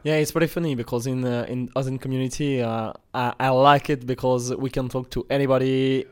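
Host, male, French native, smooth clear tone, halting casual questions. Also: medium pitch, flat delivery, darkish tone. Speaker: podcast host. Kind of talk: casual interview talk.

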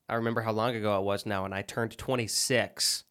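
Casual co-host, man, late 20s, talks fast, dry and joking. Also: lowish voice, fairly even intonation, a bit bright. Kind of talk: casual couple chat.

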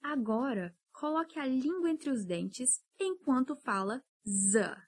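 A woman's voice: sits high, lively intonation. Encouraging woman teaching English. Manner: patient, encouraging, drills words slowly. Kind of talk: podcast pronunciation lesson.

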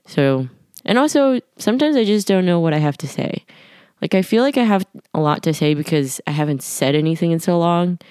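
A woman's voice: low-pitched, a little mellow, clean tone, no room sound, clean studio sound. A young woman recording a casual voice diary at home late at night, rambling with lots of fillers, her voice a little nasal. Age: young adult. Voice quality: slightly nasal. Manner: rambling, many "like" fillers, scatterbrained. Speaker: podcast diarist.

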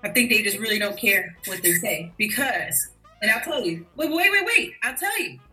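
A woman's voice: mid-range voice, sing-song, moderate pace, bright, forceful tone, soft background music. A woman in her forties chatting as co-host, laughing often and telling stories with animation. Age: 40s. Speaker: podcast co-host. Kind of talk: casual chat recapping a TV episode.

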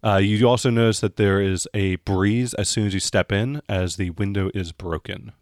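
Male game master, lower register, improvised and halting narration, very dry sound. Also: steady tone, no echo. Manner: halting, searching for words.

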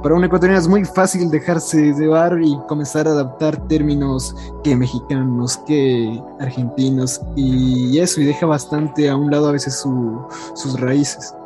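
A man's voice: fairly high pitch, steady tone, normal speed, hardly any pauses. A man interviewing a guest in a casual way, agreeing often with short affirmations. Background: music.

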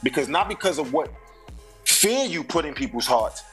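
A man's voice: a little high, very animated, normal speed, fairly bright tone, soft background music. A man in his thirties from Brooklyn with a New York accent, a rapper being interviewed, talking in a laid-back, reflective way full of slang and fillers. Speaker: rapper and singer, guest. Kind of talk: casual interview chat.

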